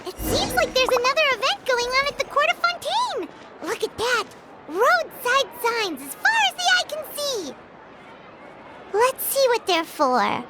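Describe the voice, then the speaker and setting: A woman voicing a small, excitable, childlike female game companion with a squeaky voice and bubbly, inquisitive manner. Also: measured pace, very noisy, full character voice. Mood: excited. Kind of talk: video game voice acting. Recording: loud background music.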